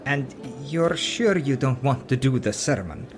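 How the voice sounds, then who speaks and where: A man's voice: medium pitch, lively intonation, measured pace, background noise, close to an everyday voice. A man playing an anxious old dwarf priest in an audio drama, with a faltering, nervous manner. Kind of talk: acted fan fiction audio drama.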